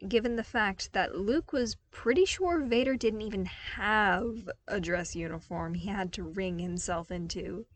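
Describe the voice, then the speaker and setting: A woman reading scripted fiction aloud as the narrator of an audio story. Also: mid-range voice. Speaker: podfic narrator.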